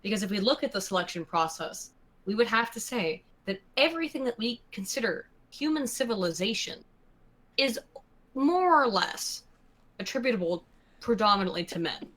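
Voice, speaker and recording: A woman's voice: medium pitch, animated, moderate pace, a bit bright, poor audio. A woman co-hosts a casual online talk show. She argues emphatically and lists her examples one after another. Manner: emphatic, listing points. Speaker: co-host. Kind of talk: casual podcast chat.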